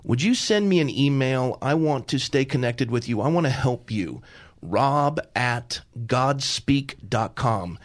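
A middle-aged man hosting a talk radio show, talking fervently and pastorally, often repeating himself in quick bursts. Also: medium pitch, lively intonation.

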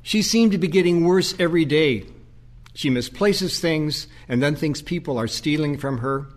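A man's voice: fairly high pitch, moderate pace, in a large hall, close to an everyday voice. A man acting in an audio play as a stressed, pleading priest who cares for his confused mother. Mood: worried, stressed. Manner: earnest, burdened, pleading.

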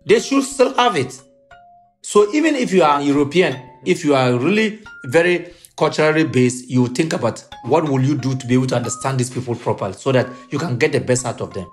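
A man's voice: medium pitch, lively intonation, fairly quick, faint music behind. A middle-aged man with a Ghanaian accent, a football coach giving emphatic interview answers.

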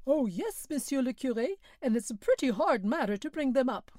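A woman voicing a proud peasant mother who speaks in an authoritative, strong, clear way. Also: sits high, very animated, fairly quick, darkish tone, no room sound, studio quality.

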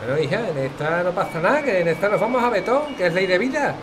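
High-pitched grumbling Andalusian granny played by a man. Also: lively intonation, fast talker. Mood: wistful, chatty. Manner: folksy, colloquial, self-pitying. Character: chatty, grumbling old Andalusian grandmother, affectionate. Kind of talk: audio fiction acting. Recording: clear ambient noise behind voice.

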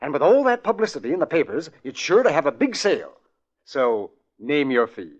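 A fussy, nervous mystery writer in an old radio play, polite and easily flustered. His voice sits a bit high and swoops wildly in pitch. The sound is dull and muffled like an old broadcast. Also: sing-song, brisk. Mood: eager. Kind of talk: 1940s radio acting.

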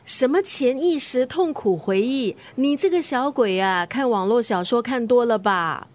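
An actress playing a frank, chatty middle-aged best friend in a radio drama, with a Singaporean accent. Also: female voice, on the high side, expressive, a little slow. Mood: bantering. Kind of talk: Mandarin radio drama acting.

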